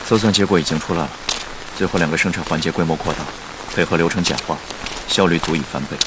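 An actor playing a cool, curt, principled business heir in a radio drama. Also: male voice, deep voice, fast talker, background noise, natural voice.

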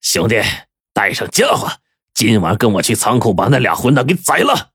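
A man's voice: medium pitch, sing-song, moderate pace, fairly bright tone, husky, dry recording, clean studio sound, full character voice. A man voicing a ruthless male gang boss giving commands in a spoken story. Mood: menacing.

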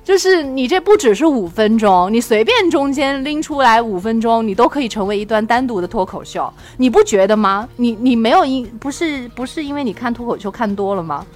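A woman's voice: a little high, expressive, brisk, few pauses, soft background music. A woman hosting a casual chat, talking in an effusive, eager way. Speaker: podcast host.

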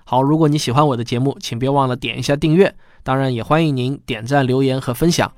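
A man's voice: mid-range voice, fast, darkish tone. A man hosting a science podcast in a chatty, explanatory way. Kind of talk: podcast commentary, conversational.

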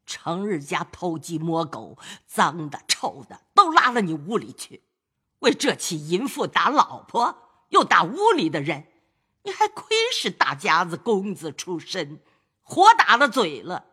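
Stern elderly matriarch for a storybook reading, lively pitch, commanding; a man doing her voice. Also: measured pace, breathy edge, exaggerated voice. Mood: scolding. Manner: scolding, commanding. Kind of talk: audiobook storytelling of a classic novel.

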